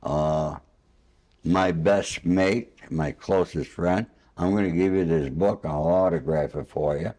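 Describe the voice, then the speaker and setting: A man's voice: low voice, slow, dark tone. An older man hosting a spontaneous, casual interview in a rambling, anecdotal and somewhat boastful way. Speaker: interviewer and host.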